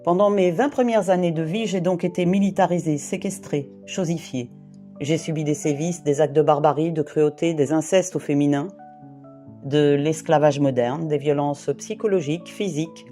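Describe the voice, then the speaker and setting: A woman from the Paris region, in her late fifties or older, gives a prepared first-person testimony in a grave, deliberate way, piling up short phrases one after another. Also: sits low, fairly even intonation, warm tone, soft background music. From Île-de-France, France. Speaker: interviewee giving testimony.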